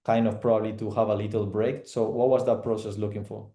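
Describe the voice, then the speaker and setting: A man's voice: fairly low pitch, flat delivery, fast talker, mellow, roomy sound. A man from Spain hosting an interview, asking long rambling questions full of "let's say". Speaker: interviewer, host. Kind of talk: interview conversation.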